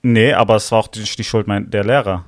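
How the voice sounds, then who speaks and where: A man's voice: on the low side, steady tone, brisk, no echo, clean studio sound. A young man co-hosting a casual podcast, talking in slangy, argumentative bursts and often checking whether he is understood. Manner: slangy, argumentative, frequent "weißt du, was ich meine". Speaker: podcast co-host.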